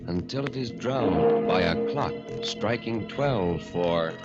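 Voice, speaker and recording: Slow, deep, dramatic radio horror announcer man. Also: expressive, over loud music. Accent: American. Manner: solemn, dramatic, measured. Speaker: announcer and narrator. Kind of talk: 1940s radio horror narration. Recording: dull vintage radio recording.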